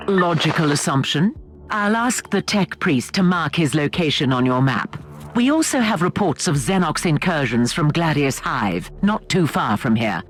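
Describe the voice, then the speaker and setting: An actor playing a measured, analytical male advisor in a video game. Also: on the high side, very animated, fairly bright tone. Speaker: advisor on the ship.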